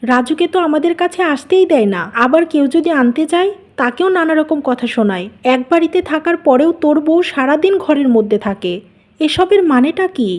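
Cartoon matriarch played by a woman: high, dark-toned voice, anxious, firm, reasoning and motherly. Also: expressive, normal speed. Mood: demanding. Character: anxious, firm mother-in-law holding the household together. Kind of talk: Bengali animated family melodrama.

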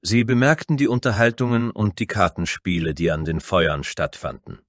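A man's voice: sits low, fairly even intonation, moderate pace, no echo, clean studio sound. A man narrating a scripted fantasy story in a dramatic storytelling style.

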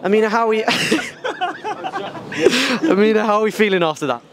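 A man's voice: sits high, fairly quick. A young man in his twenties hosting a chatty post-match street interview outside a stadium at night with a handheld mic. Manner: chatty, upbeat, teasing. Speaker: fan channel interviewer. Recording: outdoor crowd noise.

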